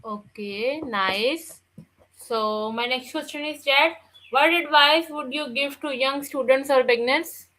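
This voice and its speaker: A woman's voice: sits high, slow pace, sharp tone, a little hiss or hum, poor audio. A woman interviewer who asks formal, set questions one by one.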